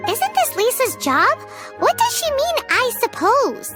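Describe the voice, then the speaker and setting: A woman voicing a tiny, childlike female game companion, squeaky, cheeky and excitable, speaking of herself in the third person. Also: measured pace, loud music behind the voice, full character voice. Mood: cheeky, suspicious. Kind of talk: anime game voice acting.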